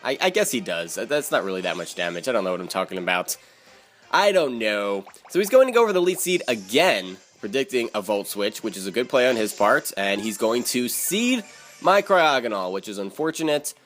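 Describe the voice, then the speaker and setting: A man's voice: on the low side, very animated, brisk, a bit bright. Man giving game commentary over music. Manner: repeats words and phrases for emphasis. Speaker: gamer narrating own battle video. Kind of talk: video game battle commentary.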